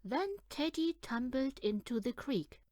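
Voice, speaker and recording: Female children's audiobook reader, lively swooping pitch, unhurried delivery, close dry sound. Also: dry recording. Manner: animated, deliberate storytelling for young children. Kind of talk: expressive read-aloud of a children's picture book.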